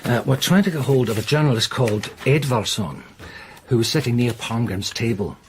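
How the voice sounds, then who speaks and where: An actor playing a courteous, methodical police inspector in a radio drama, speaking in a matter-of-fact, slightly hesitant way. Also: male voice, mid-range voice, expressive, normal speed, a little mellow, a little hiss or hum, lightly acted. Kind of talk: naturalistic radio drama acting.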